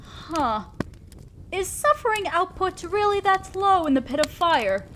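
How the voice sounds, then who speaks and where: A woman's voice: very high-pitched, very animated, a little slow, a bit bright, slight background noise, heavily acted. A woman voicing a stern, condescending female demon lord in a scripted roleplay audio drama, speaking as an exacting boss of hell. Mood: impatient, bossy. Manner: commanding, condescending, scolding.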